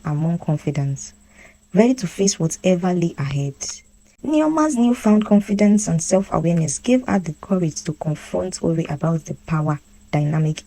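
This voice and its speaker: A woman storyteller with a low-pitched, soft and mellow voice. She narrates a scripted folktale. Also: poor audio.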